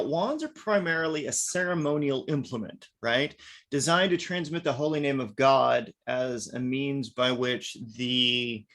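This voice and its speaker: A man's voice: on the high side, a bit bright. A man teaching an online workshop class in a chatty lecturing way, often adding "right?". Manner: chatty, peppered with "right?" and "now".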